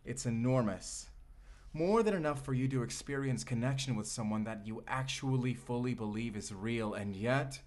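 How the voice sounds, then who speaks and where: An actor playing a vengeful, obsessive male AI companion in a dark sci-fi audio roleplay, speaking in a deliberate, bitter, theatrical way. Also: mid-range voice, lightly acted. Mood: bitter, incredulous. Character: vengeful, obsessive male AI companion turned menacing robot lover.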